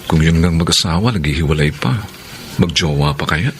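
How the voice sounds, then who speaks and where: Malalim na boses ng mapang-akit na manliligaw. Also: male voice, rumbling low, brisk. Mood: flirtatious. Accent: Filipino. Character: persistent, flirtatious male suitor, Sir James. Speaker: radio drama actor. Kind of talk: Filipino radio romance drama acting. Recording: clearly noisy radio drama track.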